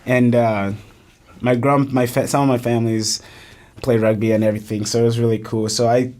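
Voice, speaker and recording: Young man, from Uganda, college athlete, casual podcast talk, earnest, mid-pitched voice. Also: a little fast. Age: young adult. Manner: earnest, animated storytelling with many restarts. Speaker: college soccer player, guest.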